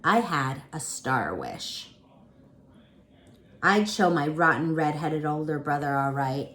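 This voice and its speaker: A woman reading a children's storybook aloud as the storyteller, with expressive, dramatic narration. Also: low voice, slow.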